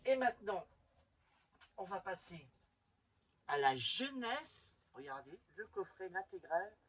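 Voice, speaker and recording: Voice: medium pitch, big pitch swings, measured pace, breathy edge. A YouTube host casually showing off a collection in a chatty, rambling, enthusiastic fan's way. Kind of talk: casual collection show-and-tell.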